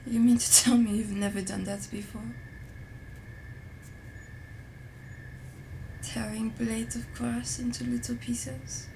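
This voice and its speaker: A woman playing a playful, teasing, affectionate young French roommate in an intimate ASMR roleplay, speaking softly and without hurry. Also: fairly high pitch, level tone, slight background noise, lightly acted, rough recording. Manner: soft, unhurried, breathy whispering, teasing.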